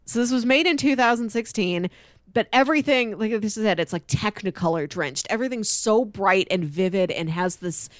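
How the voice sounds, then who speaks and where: A woman co-hosting a podcast in casual chat, speaking in an enthusiastic, expressive way. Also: mid-range voice, moderate pace, no echo, studio quality. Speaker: podcast co-host. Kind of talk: casual chat with read-out film pitches.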